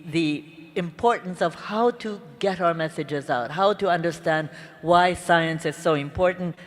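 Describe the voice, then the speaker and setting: Woman in a reverberant hall. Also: on the low side. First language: Hungarian. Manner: earnest, with halting restarts. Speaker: conference panelist. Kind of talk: conference speech, personal career story. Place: large conference hall. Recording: long reverb of a big hall.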